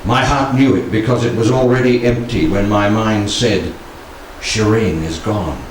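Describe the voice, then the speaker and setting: Echoey older man solemnly reciting poetry. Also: lowish voice. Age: 60s. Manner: measured, dramatic phrasing with pauses. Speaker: poetry reader. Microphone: handheld stage microphone. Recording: very echoey room, distant, faint noise.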